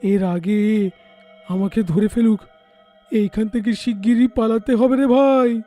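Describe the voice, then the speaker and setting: An excitable, simple village craftsman acted by a man in a cartoon tale. The voice is strikingly high yet mellow, emphatic and fretful, over faint music. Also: takes it slowly. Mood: worried. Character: simple, excitable village idol-maker husband.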